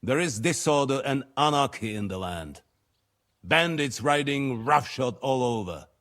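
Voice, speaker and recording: Slow, lordly middle-aged nobleman. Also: mid-range voice, lively intonation, a bit bright. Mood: wry, guarded. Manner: measured, formal, lordly. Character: shrewd, weary middle-aged lord, commanding and measured. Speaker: medieval nobleman. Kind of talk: video game cutscene acting.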